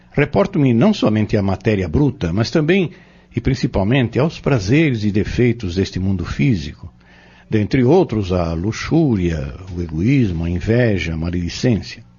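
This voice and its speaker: Audio drama actor as male pharmacist-healer: Brazilian accent, very low voice, measured and solemn, muffled recording. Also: darkish tone, husky, heavily acted. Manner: formal, measured, preacherly phrasing.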